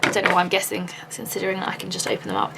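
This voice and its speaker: A woman unboxing handmade charms in a casual vlog, gushing and excitable, with a slightly raspy voice. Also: lowish voice, rapid-fire, noisy background. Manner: gushing, excitable, many "oh my God" and gasps.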